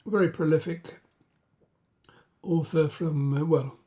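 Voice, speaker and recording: A middle-aged man with a cold, speaking hoarsely and haltingly in a casual show-and-tell of his books, often dropping to a whisper. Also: on the high side, fairly even intonation, warm tone. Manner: halting, many ums and uhs, drops to a whisper. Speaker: book collector vlogger.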